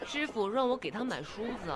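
An actress playing a meek, earnest young opera apprentice in a radio drama, polite, youthful and eager in manner. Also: female voice, fairly high pitch, big pitch swings, a little fast, a crowd in the background.

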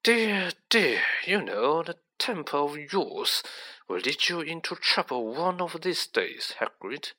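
A man voicing a cold, sneering aristocratic villain in a storybook, smooth and condescending. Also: on the high side, very animated, slow, sharp tone, pitched above the performer's own voice, full character voice. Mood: mocking.

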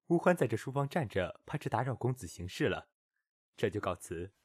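A man's voice: mid-range voice, sing-song, normal speed, breathy edge. A man playing a guarded, courteous young musician of ancient China in a period audio drama, restrained and formal. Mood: guarded, polite.

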